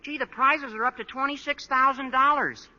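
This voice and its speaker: A man's voice: extremely high pitch, steady tone, moderate pace, pushed and bright, exaggerated voice. An actor playing a gawky, earnest, slightly whiny teenage boy in a 1940s radio sitcom. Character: gawky, reluctant teenage boy with gee-whiz innocence. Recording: noisy, echoey, dull vintage radio recording.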